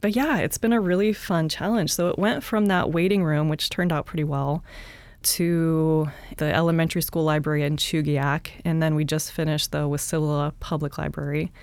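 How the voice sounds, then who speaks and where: A woman, an artist and teacher, talking softly and casually in an interview, with lots of fillers and small laughs. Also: sits low, fairly even intonation, normal speed, no room sound. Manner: soft-spoken, chatty with many fillers and light laughs.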